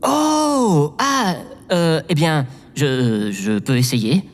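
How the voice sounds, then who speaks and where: A man's voice: on the high side, expressive, drawn-out words. A man playing a timid, devoted and awkward presidential assistant in an audio drama, deferential and easily flustered, prone to stammering. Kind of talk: scripted audio drama acting. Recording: long reverb, clear background noise.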